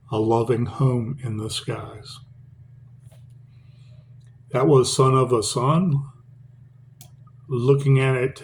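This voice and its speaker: A middle-aged man reads his own poem aloud, then talks to the camera about poetry in a rambling way, full of pauses and fillers. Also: mid-range voice, gravelly. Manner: rambling, with many fillers and restarts. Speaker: poet reading his own work. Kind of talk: poetry reading and casual talk to camera.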